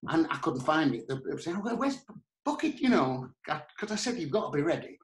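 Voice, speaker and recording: A man with a Northern English accent, telling comic anecdotes in an animated, chatty, earthy and colloquial way as a podcast guest. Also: fairly high pitch, fairly quick. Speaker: podcast guest, pantomime performer. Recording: roomy, echoey sound.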